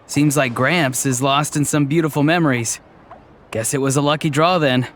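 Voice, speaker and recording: A man's voice: on the high side, moderate pace, slight background noise, lightly acted. An actor playing a playful, probing young man in a video game, wry and teasing with a friendly touch.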